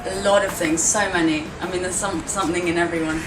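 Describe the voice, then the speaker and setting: A young woman from Turkey chats loosely and haltingly, full of ums and restarts, her voice pitched a little low. She sounds set back from the mic in an echoing room, with music playing clearly behind her. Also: steady tone, far from the mic. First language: Turkish. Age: 20s. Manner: rambling, hesitant, many fillers. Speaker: gallery owner. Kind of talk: casual interview talk.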